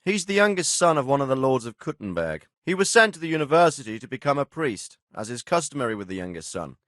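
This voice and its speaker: An actor playing a stern, dry-witted middle-aged medieval lord in a video game, speaking with formal, measured authority and a wry, sardonic edge. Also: male voice, mid-range voice, very animated, fairly bright tone, no room sound. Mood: informative. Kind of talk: video game dialogue acting.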